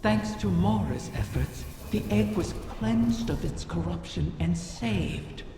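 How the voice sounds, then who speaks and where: A woman playing the spirit of an ancient, proud, cunning female dragon in a video game, speaking slowly and formally with breathy, gravelly edges. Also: on the low side, expressive, over music, full character voice. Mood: haughty, measured. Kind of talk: dramatic video game monologue. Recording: cavernous echo, long reverb, noisy ambience.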